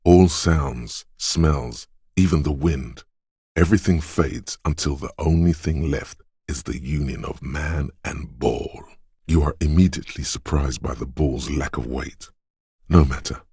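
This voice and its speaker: An actor voicing a solemn inner voice of instinct and marksmanship in a video game, with measured, deliberate, dramatic narration and a husky, gravelly tone. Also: male voice, very deep voice, warm tone, no echo, clean studio sound, full character voice. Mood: intense, solemn.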